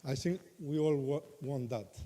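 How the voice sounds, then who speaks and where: A man's voice: medium pitch, normal speed, warm tone. A man from Argentina, giving an earnest conference speech as a Buddhist monk, repeating himself and restarting phrases. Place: large conference hall. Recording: hall reverb with faint noise.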